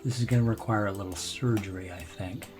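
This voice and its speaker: An older man with a naturally low voice, hosting a gameplay video and musing to himself in unhurried, relaxed commentary as he builds. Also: over music. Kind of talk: relaxed let's-play commentary.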